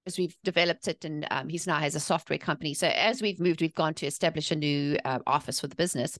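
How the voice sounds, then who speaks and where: A woman's voice: low-pitched, fairly even intonation, quick pace, a bit bright, no room sound, studio quality. A middle-aged woman from South Africa with a South African accent, a podcast guest telling her story candidly and with animation. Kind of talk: conversational interview.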